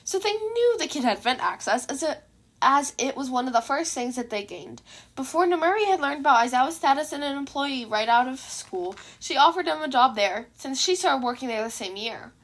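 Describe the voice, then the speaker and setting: A woman's voice: sits high, lively intonation, brisk, fairly bright tone. A woman reading a scripted story aloud as an amateur audiobook narrator.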